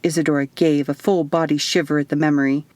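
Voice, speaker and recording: A woman reading her own first-person fiction audiobook as narrator, in an expressive storytelling style. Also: low-pitched, fast talker, dry recording.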